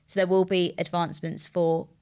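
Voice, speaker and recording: A young woman from the UK with a fairly low voice chats easily on a podcast, leaning on "like" and "you know" as she thinks aloud. Her talk is loose and friendly, the way people talk in a relaxed interview. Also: moderate pace, clean tone. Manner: chatty, many "like" and "you know" fillers. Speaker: recruitment firm co-founder, podcast guest. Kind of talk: casual interview chat.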